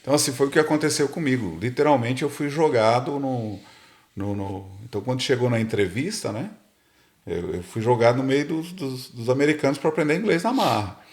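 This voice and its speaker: A man's voice: medium pitch, brisk. A Brazilian man, a podcast guest, telling his story in casual, rambling talk and repeating words as he searches for them. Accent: Brazilian Portuguese.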